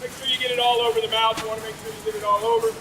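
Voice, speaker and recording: A man leading a barrel inspection, yelling loudly over the noise of a factory. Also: very high-pitched, big pitch swings, rapid-fire, gravelly, over music. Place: noisy indoor factory floor. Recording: echoey loud room from a distance.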